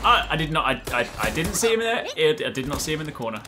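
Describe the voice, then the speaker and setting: Excitable man chatting over game music. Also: fairly high pitch, quick pace. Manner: excitable, chatty, repeats short phrases. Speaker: gaming video host. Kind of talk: casual gameplay commentary. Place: indoors at a desk, gaming setup.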